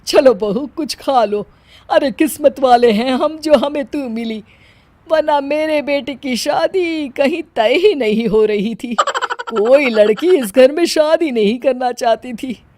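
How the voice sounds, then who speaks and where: Woman acting a high-voiced cartoon mother-in-law. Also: slow pace, darkish tone, slight background noise. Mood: sweet, doting. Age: older. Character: bossy, scolding older mother-in-law, sometimes sweetly doting. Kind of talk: Hindi moral-story cartoon voice acting.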